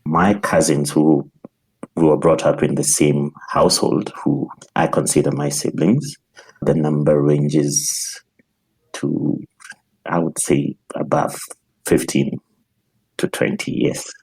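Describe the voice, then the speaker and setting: An extremely deep-voiced man with a Kenyan accent and a husky tone. He is a podcast guest sharing personal recollections, speaking in a measured way and repeating phrases for emphasis. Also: fairly even intonation, darkish tone, low-quality recording. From Nairobi, Kenya.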